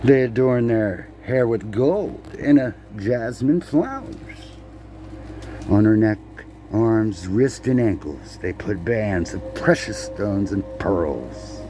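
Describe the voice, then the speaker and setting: A man reading a children's picture book aloud in a halting way, repeating words and slipping in playful asides to the listener. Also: fairly low pitch, expressive, warm tone, slight background noise. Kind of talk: storybook read-aloud with casual asides.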